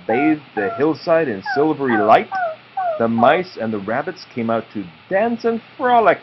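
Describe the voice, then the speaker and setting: A man reading a children's picture book aloud to his child in a slow, drawn-out storytelling way, with informal asides. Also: a little high, big pitch swings. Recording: muffled home recording with background noise.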